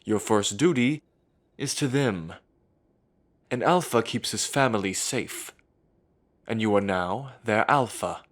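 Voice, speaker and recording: A man voicing a commanding male werewolf elder and alpha who talks in a steady, authoritative way. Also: lowish voice, takes it slowly, long pauses. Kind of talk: amateur audio drama acting.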